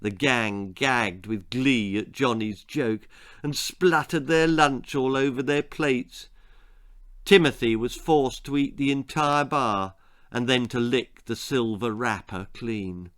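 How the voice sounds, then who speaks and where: A man reading a children's story aloud as a dramatic, expressive narrator. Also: mid-range voice, slow. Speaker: author reading his own story.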